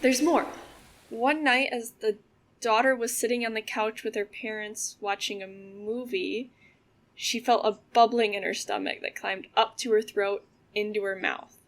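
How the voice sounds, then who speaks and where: A young woman acting as a storyteller in an audio drama, with a creaky voice. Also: on the high side, steady tone, unhurried. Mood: measured, eerie storytelling. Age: young adult. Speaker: student audio drama actor.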